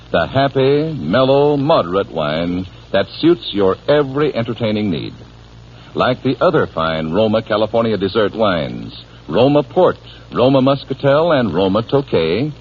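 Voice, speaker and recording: Very expressive American radio announcer. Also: male voice, medium pitch. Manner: polished, salesmanlike, emphatic. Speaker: commercial announcer. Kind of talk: 1940s radio ad read, scripted. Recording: dull old radio recording, faint noise.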